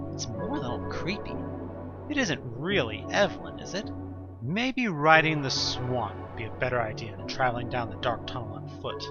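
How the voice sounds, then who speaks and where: A man reading scripted second-person horror narration in a suspenseful, expressive storytelling manner with deliberate pauses. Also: mid-range voice, muffled recording. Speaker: narrator of an audio play. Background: music.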